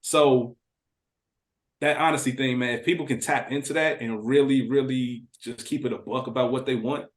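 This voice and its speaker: A man's voice: medium pitch, fairly even intonation, normal speed. An American man chatting casually as a podcast panelist, plainspoken and full of slang. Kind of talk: casual chat. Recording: echoey room.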